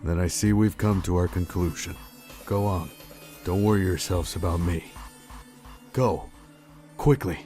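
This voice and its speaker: A man playing a calm, courteous older gentleman in a game fan dub, speaking in a measured, formal way. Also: sits low, soft background music. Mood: gentle, resigned.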